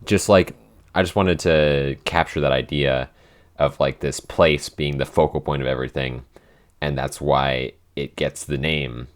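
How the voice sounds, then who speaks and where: Very deep-voiced man, rambling podcast host. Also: fairly even intonation, a little slow. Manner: rambling, self-mocking, chuckles often. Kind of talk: casual podcast Q&A chat.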